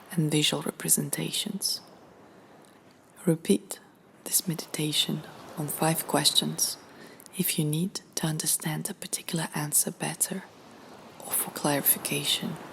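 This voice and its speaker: A woman reading a scripted guided meditation in a soft, breathy, near-whispered voice with pauses. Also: low-pitched, slight background noise.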